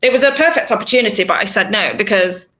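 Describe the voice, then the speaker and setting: A middle-aged woman, a PR consultant, talking casually in an interview, animated and emphatic, often acting out her own thoughts. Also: mid-range voice, fast. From England. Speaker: PR consultant guest.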